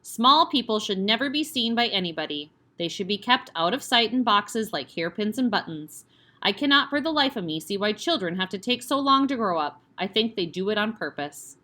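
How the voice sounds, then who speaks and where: A woman doing a towering, brutal headmistress from a storybook, loud and contemptuous, barking every order and sneering at small children. The voice is bright and keen, and it lurches up and down in pitch. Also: expressive, normal speed. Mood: bullying. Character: huge bullying tyrant headmistress, older woman.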